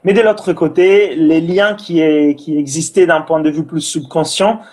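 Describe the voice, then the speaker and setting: Italian man speaking slightly high French. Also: fairly even intonation, measured pace, darkish tone. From Italy. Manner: hesitant, many fillers and restarts, non-native French grammar. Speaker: breathing and cold exposure instructor, guest. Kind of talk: casual interview talk.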